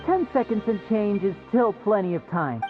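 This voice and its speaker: A woman's voice: mid-range voice, expressive, normal speed, natural voice. A woman voicing a polite, composed young male stage magician with theatrical delivery in a video game. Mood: apologetic showmanship. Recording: muffled, music behind.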